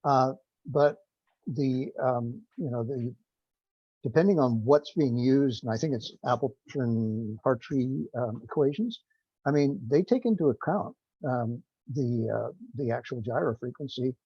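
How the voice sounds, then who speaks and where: Gravelly, husky man on a call. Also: mid-range voice, a little slow, no echo. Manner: hesitant, rambling, self-deprecating. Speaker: non-scientist project participant in a group technical call. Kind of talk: informal technical discussion on a teleconference. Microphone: teleconference connection. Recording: dull lo-fi conference call, dry.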